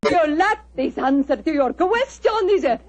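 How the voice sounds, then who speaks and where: A woman's voice: high voice, expressive, a little slow, dry recording. A woman playing a vain, flamboyant Italian opera soprano in a 1940s radio drama, with an Italian accent and a theatrical, imperious manner. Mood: grandly self-assured.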